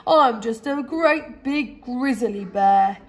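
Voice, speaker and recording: A woman voicing a huge, gentle, lonely young grizzly bear in a children's story, with a booming, plaintive delivery. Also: expressive, slow. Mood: sad, tearful.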